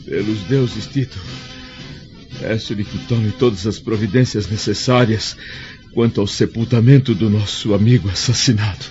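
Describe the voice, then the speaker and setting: A man's voice: fairly low pitch, moderate pace, darkish tone, natural voice. A Brazilian man acting a blind, wounded, dignified older Roman senator in a radio drama, with formal, solemn diction. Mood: grave, commanding. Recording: dull lo-fi audio, music underneath.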